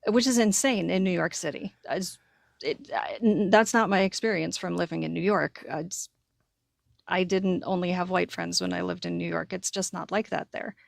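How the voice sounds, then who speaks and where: An American woman with a slightly low voice and plenty of vocal fry talks casually as a podcast co-host. She is informal and emphatic, circling back to hammer her points. The sound is close and dry. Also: fairly even intonation, moderate pace, dry recording. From Connecticut, United States. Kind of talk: casual conversational discussion.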